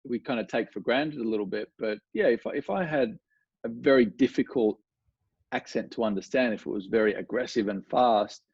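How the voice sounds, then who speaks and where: A man's voice: mid-range voice, brisk, slightly rough. An Australian man chats loosely as an interview guest, leaning on fillers and restarting his sentences. His voice sits in a middle register. The recording is dull and lo-fi, with no room echo. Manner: relaxed, rambling with many fillers and restarts. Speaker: golf coach, guest. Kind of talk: casual conversational interview.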